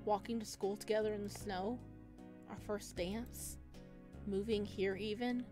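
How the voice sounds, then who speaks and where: Female game streamer, middle pitch, playful and expressive read-aloud with casual reactions, music underneath. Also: a little slow. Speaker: streamer playing a visual novel. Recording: music playing behind the voice.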